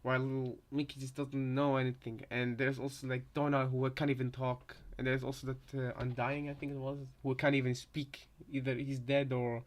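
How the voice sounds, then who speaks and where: A man's voice: medium pitch, little pitch movement, moderate pace, hardly any pauses. A man giving casual reaction commentary, hesitant and repeating himself as he thinks aloud. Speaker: reactor, fan theorist.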